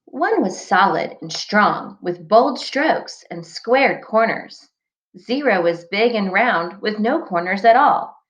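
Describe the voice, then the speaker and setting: A woman reading a children's storybook aloud like a teacher, with deliberate, expressive phrasing for young listeners, on a dull recording. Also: medium pitch. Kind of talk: scripted storybook read-aloud.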